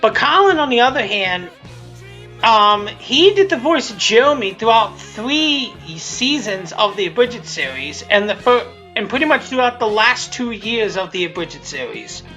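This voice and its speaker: Female commentator, bright edge, mid pitch, unscripted and blunt, repeats herself and restarts often, faint music underneath. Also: big pitch swings, measured pace. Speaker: creator of a fan abridged series. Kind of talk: casual, unscripted episode commentary.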